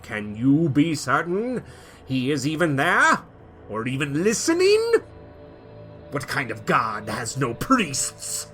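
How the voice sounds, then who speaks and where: A man giving a dramatic first-person reading as his character, swinging between loud emphatic peaks and quiet low endings. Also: huge pitch swings, slow, faint music behind. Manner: theatrical, rising to loud emphatic peaks then dropping low. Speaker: player reading character backstory.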